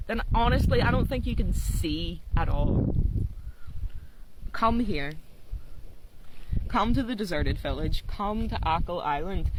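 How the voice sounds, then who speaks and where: An Irish woman from Ireland giving a heartfelt spoken monologue outdoors on a mountainside, earnest and growing quiet and intense. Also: on the low side, background noise. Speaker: social media creator. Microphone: phone recording.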